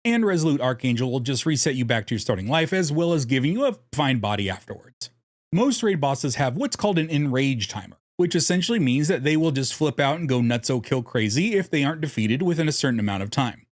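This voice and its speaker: A man's voice: mid-range voice, expressive, fairly quick, no echo, studio quality. A man narrating a scripted strategy guide in a jokey, irreverent way, casually swearing as host. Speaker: host of a card game deck guide.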